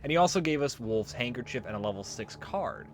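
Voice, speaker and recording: A man's voice: mid-range voice, lively intonation, a little fast. A man giving casual, jokey let's play commentary on a video game, prone to goofing around. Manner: jokey, breaks into silly singing and goofing.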